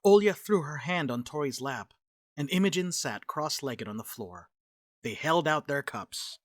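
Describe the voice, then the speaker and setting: A woman's voice: low-pitched, animated, measured pace, no echo, studio quality. A woman reading scripted narration and stage directions for a dramatic reading.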